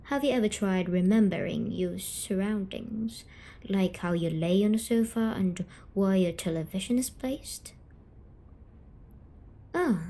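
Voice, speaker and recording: A woman voicing a gentle, teasing female sleep paralysis demon, speaking softly and soothingly in an ASMR story-telling roleplay. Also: mid-range voice. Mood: gentle coaxing. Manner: slow, soothing, coaxing, softly spoken.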